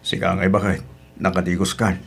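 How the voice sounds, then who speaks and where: A man's voice: sits low, flat delivery, brisk, gravelly, a bit bright, husky, heavily acted. A man with an Ilocano accent voicing a hardworking middle-aged market vendor husband in an audio drama.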